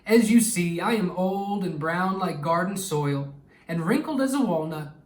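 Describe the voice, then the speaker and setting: A man voicing a kindly, good-humored old grandfather from a children's storybook, talking warmly to his grandchildren. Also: sits high, animated, measured pace, voice pushed up. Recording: echoey room, distant microphone.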